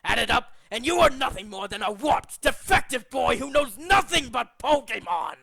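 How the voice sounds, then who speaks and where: Power-mad villain boss, pompous and scornful, gravelly edge, swings all over from hushed to ranting, game monologue. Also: male voice, very high-pitched, sing-song, normal speed, full character voice. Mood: furious, contemptuous. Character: megalomaniac villainous male cult leader, scheming and contemptuous. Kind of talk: theatrical video game villain monologue, demo reel.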